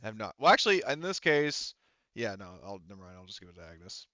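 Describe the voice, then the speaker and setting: Game master, male, clean lowish voice, loose stop-and-start storytelling with casual swearing, slightly muffled close recording. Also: big pitch swings, bright, forceful tone, dry recording. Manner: informal, halting, profane. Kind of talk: narrating a tabletop roleplaying game.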